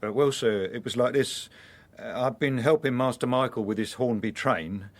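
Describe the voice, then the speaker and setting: An actor with an English accent playing a nervous household chauffeur in a radio drama, answering hesitantly and deferentially. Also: male voice, mid-range voice, moderate pace, close to an everyday voice. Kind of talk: British radio drama acting.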